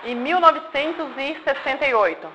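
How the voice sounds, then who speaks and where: A woman's voice: high-pitched, expressive, moderate pace, noisy background. A woman in her forties from Paraná, telling her life story to a group of children in a simple, friendly way and often checking that they follow. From Paraná, Brazil. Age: 40s. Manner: simple explanations with frequent checks like "tá?" and "né?". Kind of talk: informal talk with questions from an audience.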